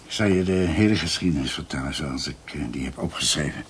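Low-voiced, musing older uncle. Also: male voice, noisy background. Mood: musing, narrating. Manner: hesitant, musing, halting. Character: older male doctor, bookish uncle telling family history. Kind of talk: radio drama acting, storytelling.

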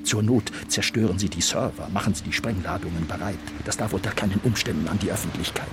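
A man's voice: low-pitched, fast, background noise, natural voice. A man playing an impatient, imperious corporate villain in a German radio drama, speaking curtly and giving orders. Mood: cold, impatient. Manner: curt, commanding, impatient. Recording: loud music behind the voice.

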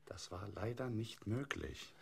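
An actor playing a brusque, harried director in a comic radio play, speaking curtly and with authority. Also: male voice, fairly low pitch, a little slow, gravelly, heavy background noise. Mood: polite, impatient. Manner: curt, authoritative.

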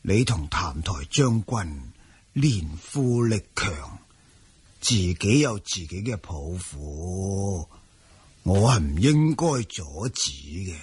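An actor playing a weary, melancholy old statesman in a Cantonese radio drama, husky and sighing as he declaims. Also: low voice, sing-song, slow, a little mellow, no room sound, exaggerated voice. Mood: weary, resigned. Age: older.

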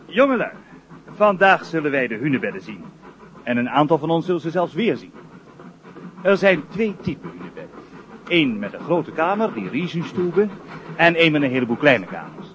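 A jovial schoolteacher in a radio play, lecturing youngsters on an outing. His voice is fairly high and very lively in pitch, with clear background noise around him. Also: moderate pace, pauses often. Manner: lecturing, addressing a group of youngsters. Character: jovial, easygoing male schoolteacher leading a class outing. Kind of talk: Dutch radio play acting.

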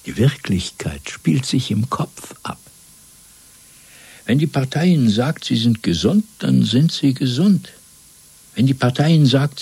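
An actor playing a coldly domineering party interrogator in a German radio play, lecturing in a measured, zealous way with a gravelly, husky voice. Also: male voice, mid-range voice, heavily acted. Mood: fanatical fervour. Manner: measured, lecturing, swelling from hushed to fervent.

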